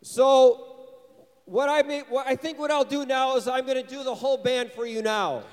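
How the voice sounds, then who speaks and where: Very high-voiced man in echoing hall. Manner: energetic, excited, pausing for interpreter. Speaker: touring band leader speaking at church event. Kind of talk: English speech with live interpreter. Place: church hall. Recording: reverberant hall.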